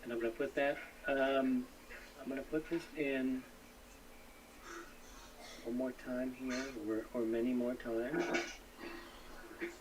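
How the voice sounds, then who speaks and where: A man's voice: mid-range voice, takes it slowly, pauses often. A man casually hosting a live stream, talking to guests and viewers as he works the screen. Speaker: live-stream host running the screen share. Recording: clearly noisy feed.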